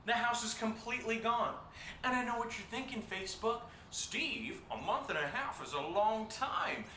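A man's voice: animated, moderate pace. An actor playing an agitated, sarcastic young man in an audio drama, ranting and swearing as if to a livestream. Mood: mock-cheerful. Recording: echoey room, faint hiss, high-pitched bright voice.

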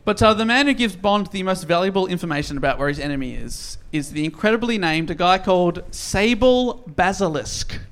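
Brief: male host, high voice, chatty comic recapper. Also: lively intonation, brisk, hardly any pauses, fairly bright tone. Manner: jokey, laughs while telling. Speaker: podcast host recapping a novel. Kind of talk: casual comedic recap.

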